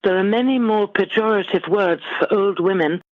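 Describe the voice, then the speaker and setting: A middle-aged woman guest author speaking in measured, formal, articulate phrases in a literary interview. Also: medium pitch, dry recording. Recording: dull, lo-fi band-limited sound.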